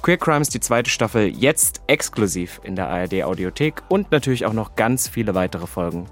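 A man's voice: fairly low pitch, big pitch swings, normal speed, faint music behind. A German man hosting a podcast, chatting casually and teasing his guest. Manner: playful, teasing. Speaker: podcast host. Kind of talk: casual chat.

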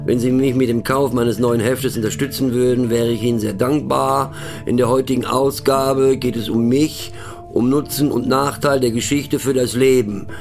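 Casting: male actor as homeless paper seller, radio play. Rough, creaky voice, projected, polite rehearsed begging speech. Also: medium pitch, fairly even intonation, normal speed, soft background music, natural voice. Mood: formal pleading. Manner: loud, formal, rehearsed public address. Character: homeless male street-paper seller pitching to train passengers, formal, pleading.

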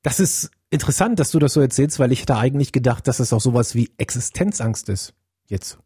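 A man's voice: mid-range voice, animated, brisk, dark tone, breathy edge, no echo, clean studio sound. A man hosting a podcast, asking questions in a conversational style. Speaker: podcast host, interviewer. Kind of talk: conversational interviewing.

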